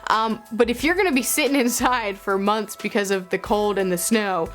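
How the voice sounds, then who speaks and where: A woman's voice: mid-range voice, normal speed, fairly bright tone, soft background music. A young adult woman chatting casually to camera as a book vlog host, with informal emphasis and playful asides.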